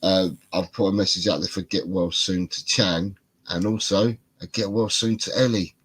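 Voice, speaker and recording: Un hombre británico muy grave, congestionado. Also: male voice, deep voice, fairly even intonation, moderate pace, a bit bright, breathy edge. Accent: British English. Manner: rambling, repeats himself. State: congested, bunged up. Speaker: podcast host. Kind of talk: casual livestream chat.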